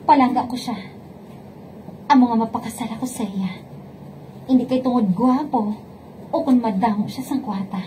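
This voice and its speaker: A woman's voice: fairly high pitch, moderate pace, long pauses, warm tone, a little hiss or hum, lightly acted. A woman playing an earnest, principled young bride-to-be in a radio romance drama, with a Hiligaynon Filipino accent. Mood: firm, heartfelt.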